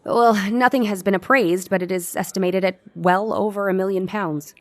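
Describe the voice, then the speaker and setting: A woman's voice: medium pitch, normal speed, no room sound, close to an everyday voice. A woman playing a composed, tactful doctor in a radio play, speaking formally and carefully. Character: composed, tactful lady doctor and family confidante.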